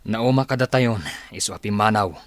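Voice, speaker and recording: A man's voice: lowish voice, fairly quick, no echo, lightly acted. A man playing a stern, defensive father and unfaithful husband in a radio drama, with a northern Filipino accent. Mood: stern, dismissive.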